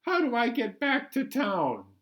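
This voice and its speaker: Man acting animated, bemused New York cabbie. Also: very high-pitched, a little slow, pitched above the performer's own voice, exaggerated voice. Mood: surprised, questioning. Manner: colloquial, animated, incredulous. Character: chatty, bemused New York City taxi driver. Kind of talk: first-person picture book read-aloud.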